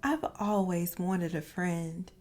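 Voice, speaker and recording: A woman voicing a small, innocent, wistful toy bear in a children's storybook, with hushed, breathy wonder. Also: on the low side, takes it slowly, pitched below the performer's own voice. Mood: content, wistful.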